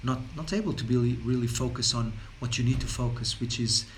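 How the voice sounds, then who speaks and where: A man's voice: mid-range voice, fairly quick, slight background noise. A man from Portugal with a Portuguese accent, speaking about well-being in an unscripted talk, rambling along with many fillers and repeated words. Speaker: wellness speaker.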